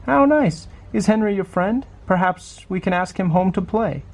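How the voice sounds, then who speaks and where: A man's voice: sits high, lively intonation, normal speed, pitched above the performer's own voice. A man voicing a caring, tired mother in a storybook, with a coaxing, sing-song, questioning manner. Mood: bright, curious. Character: caring but tired, worried mother of a young boy.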